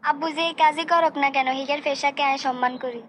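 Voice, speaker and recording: A woman's voice: high voice, fairly even intonation, fast talker, a bit bright, a little hiss or hum. A woman with a Noakhali Bengali accent voicing a dignified, outspoken young bride-to-be in a comic cartoon. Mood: indignant, proud. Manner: polite but firm.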